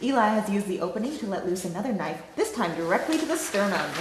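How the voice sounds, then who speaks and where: A woman reading the part of an excitable young play-by-play announcer cheerfully calling violent brawls at an informal table read. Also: mid-range voice, quick pace. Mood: excited commentary. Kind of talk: informal script table read, sports-commentary delivery. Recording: echoey room with faint noise.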